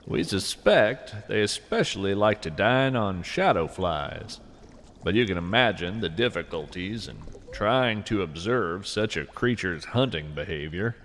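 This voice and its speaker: A man hosting a scripted podcast, narrating whimsical nature fiction and poetry in an earnest, deliberate, conversational way. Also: on the low side, big-room sound, a little hiss or hum.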